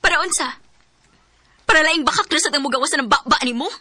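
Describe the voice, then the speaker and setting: A woman's voice: high-pitched, fast, bright, forceful tone, no echo. A young woman with a Cebuano accent playing a guarded, proud student being courted in a radio drama. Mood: hurt, angry. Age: young adult.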